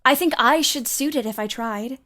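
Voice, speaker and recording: A young woman in a literary audio play. She is spirited and restless, with a high voice. She speaks bluntly, yet cares deeply. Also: normal speed, sharp tone. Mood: anxious, restless. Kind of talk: audio play acting of a period novel.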